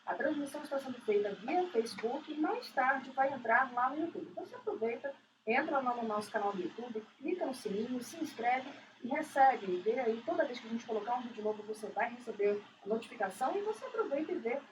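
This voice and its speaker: High-voiced Brazilian woman radio co-host, slightly distant. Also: lively intonation, fast. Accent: Brazilian, São Paulo. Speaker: co-host of a women's radio talk show. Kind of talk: casual chatty radio hosting. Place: radio studio. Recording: distant mic, some background noise.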